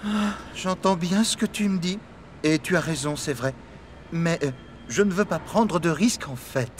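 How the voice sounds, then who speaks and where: An actor voicing an anxious young steam locomotive, eager and hesitant, in a French children's cartoon dub. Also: male voice, fairly high pitch, normal speed, a little hiss or hum, lightly acted. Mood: anxious, cautious. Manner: worried, hesitant.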